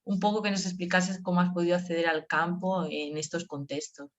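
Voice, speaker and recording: A woman's voice: fairly low pitch, flat delivery, a little fast. A woman moderating an academic video conference, enthusiastic and posing long framed questions. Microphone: video call microphone. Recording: dull lo-fi video call audio.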